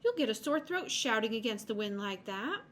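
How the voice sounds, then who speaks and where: Woman playing hazelnut lady, lively raised voice, friendly, motherly, chatty, children's storybook. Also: fairly high pitch, moderate pace, a little hiss or hum. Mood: concerned.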